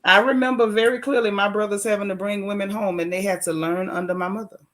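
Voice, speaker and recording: A woman hosting a casual live-stream chat and giving motherly advice, slowing down to stress her points. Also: mid-range voice, fairly even intonation, a bit bright. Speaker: host.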